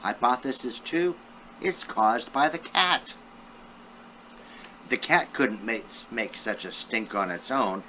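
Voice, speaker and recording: A man's voice: medium pitch, slow pace, long pauses, sharp tone, a little hiss or hum. An elderly man reading a children's storybook aloud, talking directly to his young listeners as a great-grandfather would. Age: 70s or older.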